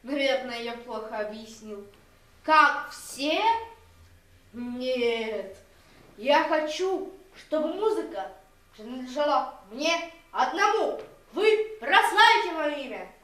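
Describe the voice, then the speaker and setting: A woman voicing a sinister, wealthy male maestro, cold and covetous, speaking deliberately and imperiously in a theatrical fairy-tale. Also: high-pitched, lively intonation, a bit bright, heavily acted. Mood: insistent. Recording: roomy echo, distant from mic.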